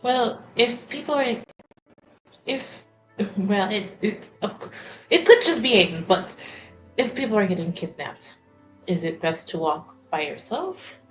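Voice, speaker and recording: A woman's voice: medium pitch, lively intonation, a little slow, faint music behind. A woman playing a tabletop roleplaying game, speaking casually and tentatively as she improvises. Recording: roomy echo.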